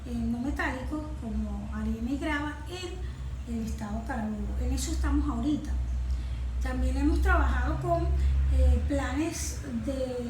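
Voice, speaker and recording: A woman with a Venezuelan accent explaining her work as an environmental consultant in an interview, haltingly, searching for words and repeating phrases. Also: high voice. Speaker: environmental consultant and forestry engineer being interviewed. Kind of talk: interview answers, explanatory talk. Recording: echoey room with clear background noise.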